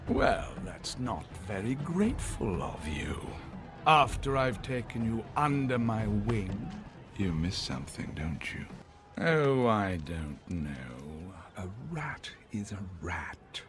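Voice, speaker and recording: An actor playing a sly, patronising rat boss with menace under false kindness. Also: male voice, lowish voice, big pitch swings. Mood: patronising, menacing. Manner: slow, drawling, patronising. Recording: loud music behind the voice.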